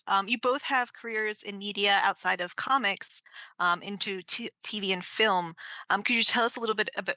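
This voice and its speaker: A woman's voice: mid-range voice, gravelly, pushed and bright, dry recording. A woman moderating a panel, asking questions in a polite, conversational way.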